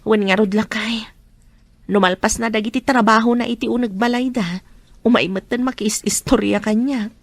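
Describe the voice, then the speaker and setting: An actress playing a weary, insecure wife recovering from an accident in an Ilocano radio drama, with plaintive, drawn-out delivery. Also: female voice, on the high side, steady tone, dry recording. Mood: chatty.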